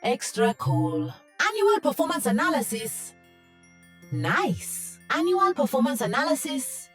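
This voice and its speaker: Cheerful game robot, high, lilting, formal announcements, faint music behind. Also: female voice, sing-song, a little slow. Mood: officious. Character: cheerful female robot giving performance reports.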